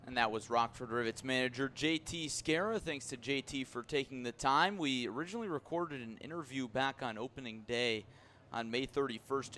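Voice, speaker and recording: A man hosting a baseball radio pregame show, with a polished, measured announcer's delivery. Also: medium pitch, fairly bright tone. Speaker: baseball radio play-by-play broadcaster. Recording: background ambient noise.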